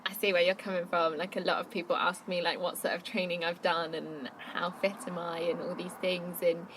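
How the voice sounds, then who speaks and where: A woman's voice: lowish voice, level tone, fairly quick, a bit bright, a little hiss or hum, poor audio. A woman hosting a podcast, asking questions and chatting casually, with frequent use of "like". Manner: chatty, uses filler "like". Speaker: podcast host.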